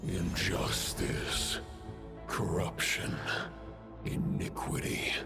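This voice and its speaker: A man's voice: completely flat delivery, very slow. A man voicing an ancient, menacing wolf spirit in a video game, speaking in grave, archaic, contemptuous pronouncements. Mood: grim contempt. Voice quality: deep, gravelly. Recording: music behind the voice.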